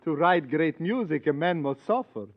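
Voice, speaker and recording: An actor playing an excitable older music professor and composer in a 1940s radio comedy, theatrical and wisecracking. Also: male voice, fairly high pitch, moderate pace, close to an everyday voice. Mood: dramatic, anguished. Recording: muffled 1940s radio broadcast.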